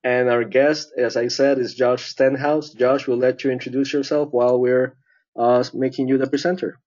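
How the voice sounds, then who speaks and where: A man hosting an online tech show, introducing the guest in a friendly, halting way with many fillers. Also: mid-range voice, little pitch movement, a little mellow, lo-fi sound. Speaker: host.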